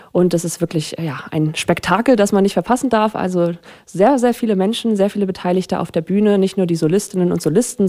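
A woman's voice: on the low side, mellow. A woman presenting a theatre's programme in an informal, enthusiastic, conversational way. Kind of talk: informal spoken programme announcements.

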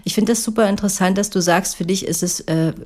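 A woman's voice: medium pitch, quick pace, darkish tone. A woman interviewer and songwriter asking curious, engaged questions in a casual conversation. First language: German.

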